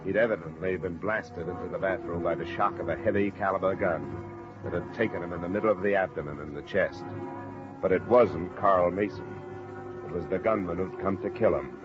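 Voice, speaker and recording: Male actor for hard-boiled American private investigator, low register, sardonic and clipped, old muffled radio serial sound. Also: fairly quick, natural voice. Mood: grim narrating. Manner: wry, weary, clipped delivery. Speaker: lead actor and narrator. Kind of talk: 1950s radio detective acting. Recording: muffled vintage radio broadcast, music behind.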